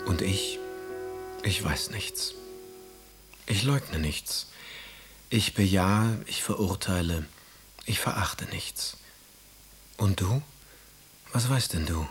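An actor playing a grave, brooding romantic poet, solemnly reciting verse in a radio drama. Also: male voice, sits low, slow pace, long pauses. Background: instrumental music.

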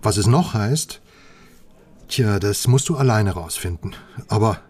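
An actor playing a wry, knowing journalist informant in a radio drama, confiding in clipped conversational phrases. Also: male voice, fairly low pitch, animated, measured pace, a little mellow, husky. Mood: conspiratorial warning.

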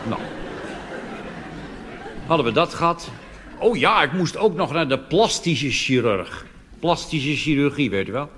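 A Dutch man doing a cabaret monologue on stage, delivering deadpan punchlines and jumping into animated put-on voices. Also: on the high side, measured pace, long pauses, crowd noise. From Netherlands. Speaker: cabaret comedian. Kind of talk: stand-up monologue. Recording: reverberant hall with audience noise.

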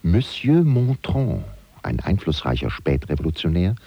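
A man's voice: sits low, big pitch swings, measured pace, breathy edge, dry recording, muffled recording, exaggerated voice. An actor playing a sly servant of a powerful statesman, narrating a German radio play in a wry, confiding way. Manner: wry, confiding, hushed at times.

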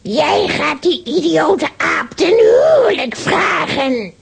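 A very high, creaky witch for a children's audio play. The voice swoops about as it taunts and commands with gleeful cruelty, taking its time over the threats. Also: big pitch swings, slow pace, heavily acted. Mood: menacing, commanding. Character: gleefully cruel, bullying witch Antanneke, shrill and gloating.

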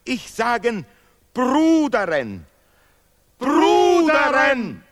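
An actor playing a pompous, sentimental patriotic scholar in an absurdist radio play, declaiming haltingly in broken grammar. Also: male voice, very high voice, huge pitch swings, some chatter behind, exaggerated voice. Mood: alarmed, excited. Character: pompous male Nobel laureate scholar, bombastic, sentimental patriot.

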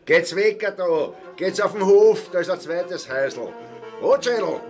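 A gruff Austrian pub regular, blunt and bossy. His voice is on the high side and swings widely in pitch, with music behind it. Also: lively intonation, a little slow, darkish tone. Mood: impatient, calling out. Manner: colloquial, blunt. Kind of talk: dialect radio drama acting.

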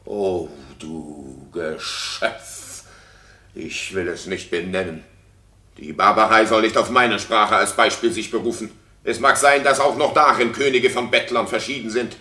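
A man's voice: fairly low pitch, fairly even intonation, measured pace, bright, forceful tone, reverberant. An actor playing a jealous, raging king in a classical radio play, declamatory, scornful and cutting. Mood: furious accusation. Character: jealous, raging king accusing his wife. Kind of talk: classical German radio play stage acting.

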